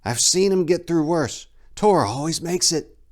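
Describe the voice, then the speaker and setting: Man as an eager, high-pitched young elf monk. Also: expressive, measured pace. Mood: protesting. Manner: earnest, urgent.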